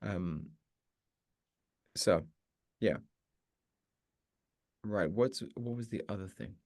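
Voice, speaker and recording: A man's voice: lowish voice, extremely slow, darkish tone, no echo, clean studio sound. A man hosting a live Q and A for meditation teachers, chatty and encouraging, often restarting his sentences. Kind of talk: casual live-stream chat answering questions.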